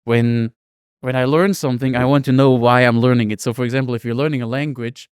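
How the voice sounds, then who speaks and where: Clear-voiced man hosting a casual podcast. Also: mid-range voice, fairly even intonation, fast talker, dry recording, studio quality. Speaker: podcast host.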